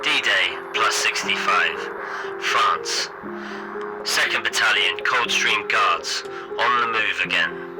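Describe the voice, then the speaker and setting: An actor playing a stiff, formal army official reading dispatches over wartime radio in a clipped, impersonal way. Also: male voice, on the low side, slow, low-quality recording. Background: music.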